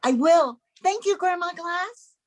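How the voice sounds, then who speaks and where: A woman's voice: very high-pitched, animated, a little slow, background noise. A woman voicing an innocent, polite eight-year-old girl in an amateur radio play. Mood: sweet, eager.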